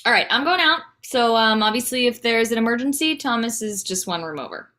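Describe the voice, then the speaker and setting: A woman playing a no-nonsense wife and mother with casual, deadpan delivery in a comedy table read. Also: on the high side, fairly quick. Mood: blunt.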